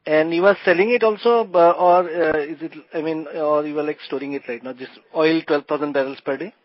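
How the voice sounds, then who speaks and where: A man's voice: on the high side, fairly even intonation, fairly quick, no echo. A man with an Indian accent, an analyst putting questions on an earnings call. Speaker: financial analyst asking questions. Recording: dull, dry phone conference line.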